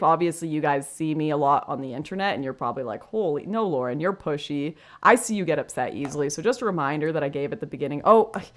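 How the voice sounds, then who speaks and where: Deep-voiced woman chatting on a livestream. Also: fairly bright tone. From Canada. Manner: chatty, frank, self-deprecating, thinks aloud with restarts. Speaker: livestream host. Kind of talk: casual livestream chat reading super chats.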